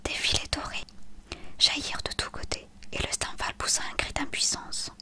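A woman reading a story aloud as scripted narration, expressive and hushed. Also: moderate pace, whispered. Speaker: audiobook reader.

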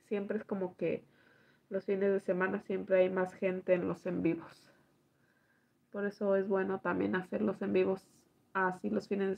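A woman, hoarse from allergies, chatting slowly with viewers and reading their comments aloud on a live stream from home at night. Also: mid-range voice, highs cut off. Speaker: livestream host reading chat. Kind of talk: casual live chat with viewers.

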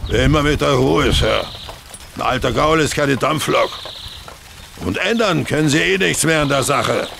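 A man's voice: fairly high pitch, moderate pace, vocal fry, a bit bright. An actor playing a blunt, gossipy country coachman in a German audio drama, talking in a brash, colloquial, matter-of-fact way. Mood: gruff, unhurried. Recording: faint background noise.

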